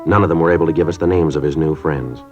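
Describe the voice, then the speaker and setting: An actor playing a stern middle-aged police sergeant who narrates in a clipped, matter-of-fact way. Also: male voice, rumbling low, steady tone, racing. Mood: flat narration. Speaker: detective narrator. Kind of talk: 1950s radio acting. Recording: dull old radio recording with music.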